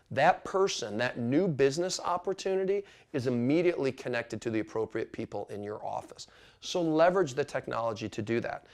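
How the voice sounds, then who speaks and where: Man giving an emphatic instructional explainer. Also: medium pitch. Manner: emphatic, with frequent "okay?" checks. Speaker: presenter of an instructional business video series.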